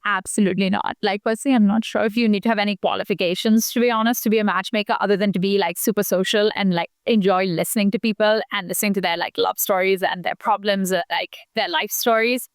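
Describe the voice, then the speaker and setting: Chatty young woman from india, lots of likes, smooth voice, dry room. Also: medium pitch, steady tone, fast talker, hardly any pauses, dry recording. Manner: chatty, many fillers, outgoing. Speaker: podcast guest, founder and matchmaker. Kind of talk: casual interview talk.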